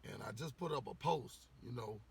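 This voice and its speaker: A man ranting casually to camera, a little hoarse from losing his voice, rambling with lots of "you know" and swearing bluntly. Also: medium pitch, expressive, normal speed. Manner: rambling, repeats words, frequent "you know". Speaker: vlogger giving opinions.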